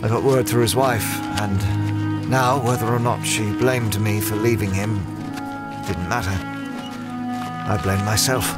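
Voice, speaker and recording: A man playing a low-voiced, haunted young scholar. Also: steady tone, a little slow, over loud music, lightly acted. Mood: haunted, confessional. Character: earnest, guilt-ridden male scholar adventurer. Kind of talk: audio drama acting.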